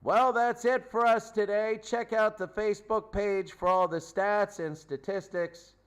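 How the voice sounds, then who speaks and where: A man's voice: sits high, steady tone, a little slow, clean tone. A man hosting a sports show, recapping a game off the cuff in clipped runs of stats, repeating phrases for emphasis. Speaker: sports show host, commentator. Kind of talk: unscripted game recap commentary.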